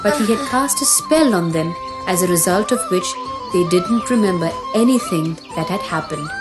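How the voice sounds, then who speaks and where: A woman's voice: on the low side, expressive, normal speed. A woman narrating a scripted children's mythology story as the storyteller. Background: music.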